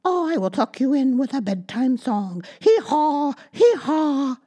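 A motherly lady donkey, put on by a woman reading aloud, braying in a high, loud, sing-song voice. She is a storybook character for small children. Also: lively intonation, extremely slow, heavily acted. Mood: singsong.

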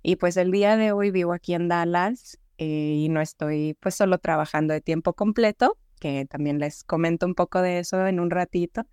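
A woman's voice: on the low side, moderate pace, few pauses, a little mellow, clean tone, dry recording, studio quality. A young woman from the Juárez border region, a podcast guest talking in a friendly, earnest way with many fillers. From Mexico, Juárez border region. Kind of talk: podcast interview.

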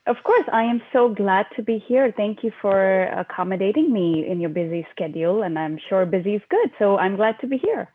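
A woman from India, a small business owner, speaking as a podcast guest and explaining her work in an earnest, steady way. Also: mid-range voice, fairly quick, few pauses. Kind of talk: interview conversation. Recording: tinny phone-like line.

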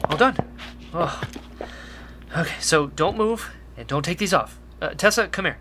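A woman's voice: on the low side, lively intonation, measured pace, fairly bright tone, a little hiss or hum. A woman playing an earnest, anxious young rebel agent and makeshift medic, speaking hesitantly in a sci-fi audio drama. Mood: focused, reassuring.

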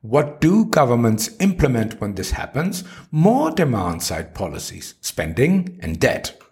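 A man reads a scripted article aloud in a mid-range voice. His intonation swings widely up and down. He phrases each point with deliberate emphasis, as a narrator presenting an argument. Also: medium pitch, wildly swooping pitch, a little mellow. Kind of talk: scripted reading of an economics article.

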